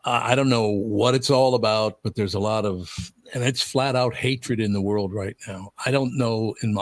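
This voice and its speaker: An older man hosting a radio talk show, emotional and tearing up, his voice going higher when moved. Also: dry recording. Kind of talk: casual radio chat.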